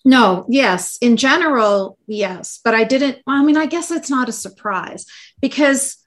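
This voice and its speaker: Outspoken woman host, higher voice. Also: expressive, measured pace. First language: Arabic. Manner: outspoken, swears when indignant. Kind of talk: casual livestream talk.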